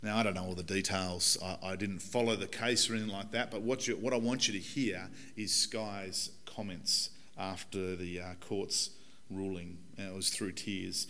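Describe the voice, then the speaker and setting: A man in his forties, a pastor with a croaky, hoarse voice, giving a conversational and earnest sermon in a church. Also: low voice, fairly even intonation, normal speed, pushed and bright. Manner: conversational, earnest preaching with fillers. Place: church hall.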